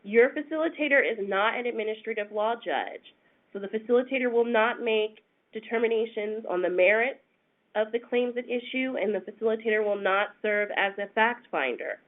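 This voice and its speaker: Congested woman presenting over a phone line. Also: fairly high pitch. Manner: measured, explanatory, with frequent "um"s. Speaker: senior attorney presenting a webinar. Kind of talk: explanatory webinar presentation. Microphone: phone conference line.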